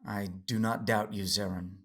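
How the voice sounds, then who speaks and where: A man playing a calm, knowing alien Jedi in an audio drama, with a husky voice and an understated, gently challenging manner. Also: lowish voice, unhurried, heavily acted.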